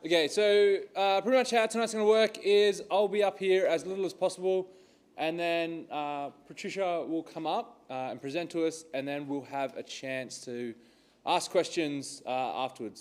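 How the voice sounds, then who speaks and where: A man's voice: a little high, fairly even intonation, moderate pace, darkish tone. A man hosting a church evening, talking casually with many hesitant fillers. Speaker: event host. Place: church hall.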